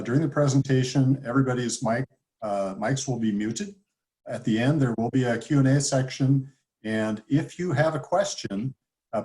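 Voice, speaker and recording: A man hosting an online meeting, giving house rules in a relaxed way with many fillers, over a video call. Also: mid-range voice. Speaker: business development manager hosting a webinar.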